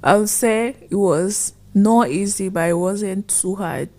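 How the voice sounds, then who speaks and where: A young woman from Rwanda telling her story as a podcast guest, soft-spoken and thoughtful, her voice trailing off at phrase ends. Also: mid-range voice, unhurried. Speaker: guest, former foreign student. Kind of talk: conversational storytelling.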